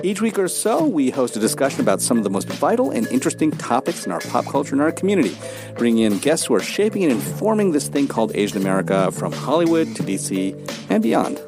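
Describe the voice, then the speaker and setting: A man's voice: medium pitch, very animated, quick pace, vocal fry, over music. A man podcast host reading a scripted promo for the show.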